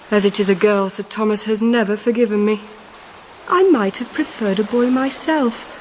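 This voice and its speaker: A woman's voice: medium pitch, lightly acted. An actress playing a self-pitying, vain young married lady in a period audio drama, reading a letter aloud in a genteel, plaintive way. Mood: bitter, self-pitying. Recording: noisy recording with ambience behind.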